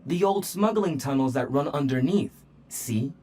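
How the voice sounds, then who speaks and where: A woman playing a gutsy, nonchalant operative in a video game, with a husky voice and brisk manner. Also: sits low, expressive, dark tone, soft background music. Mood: confident, casual. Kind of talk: video game cutscene acting.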